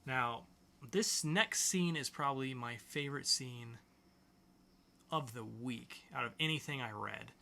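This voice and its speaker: Guy cohosting a comics podcast, bright punchy voice, casual and really into it. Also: mid-range voice, unhurried, gravelly, a little hiss or hum. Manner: enthusiastic, informal. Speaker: podcast co-host. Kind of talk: casual comic book review chat.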